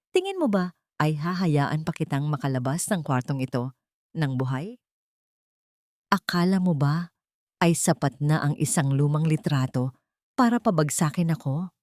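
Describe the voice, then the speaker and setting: A vengeful woman villain from an audiobook drama, acted by a female narrator. Her voice sits fairly low and sounds dry and close. She speaks with cold, taunting menace. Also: darkish tone, dry recording. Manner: threatening, taunting. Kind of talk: dramatic audiobook character acting.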